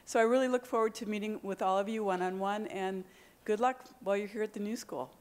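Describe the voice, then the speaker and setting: A woman's voice: medium pitch, steady tone, quick pace. A woman from the program staff giving a friendly, explanatory address to new students. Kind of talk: orientation address.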